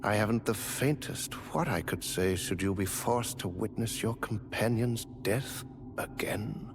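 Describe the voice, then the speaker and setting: An actor playing a courteous, theatrical gentleman adventurer in a dark fantasy video game, speaking in formal, flowery, archaic phrases. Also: fairly low pitch, unhurried. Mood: doubtful, pondering. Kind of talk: video game character dialogue.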